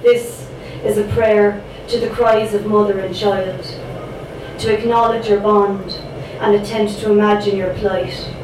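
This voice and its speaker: A young woman with a Cork accent performs her poems in a rhythmic, dramatic style, with casual chatty asides between them. Her voice sits at a middle pitch. It echoes heavily through the room, picked up from a distance with noise behind it. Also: level tone, measured pace. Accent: Irish, Cork. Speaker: spoken word poet. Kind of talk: spoken word poetry performance.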